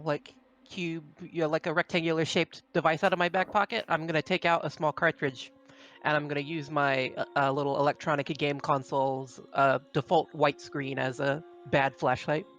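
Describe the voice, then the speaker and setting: Higher-voiced man playing a tabletop game. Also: fairly even intonation, moderate pace, a bit bright, muffled recording. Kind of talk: casual in-game chat.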